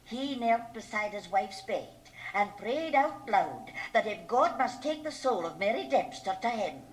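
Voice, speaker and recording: A brisk, capable mother, strict and practical, with a medium-pitched voice. The radio play has faint cassette noise. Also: sing-song. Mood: brisk, urgent. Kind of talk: radio drama acting. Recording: slightly noisy old cassette radio drama.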